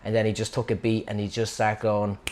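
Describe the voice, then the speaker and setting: A man's voice: on the low side, monotone, a little fast, darkish tone. Wry Dublin Irish man telling a story. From Dublin, Ireland. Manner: wry, rambling, self-mocking. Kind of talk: casual comic storytelling.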